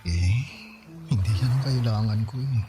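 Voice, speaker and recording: An actor playing a vain, wheedling young kept boyfriend in a Tagalog radio drama, whining and coaxing cutely. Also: male voice, lowish voice, normal speed, music in the background, lightly acted. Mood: sulky, coy. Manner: whiny, coaxing, cutesy pouting. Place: studio recording with music behind.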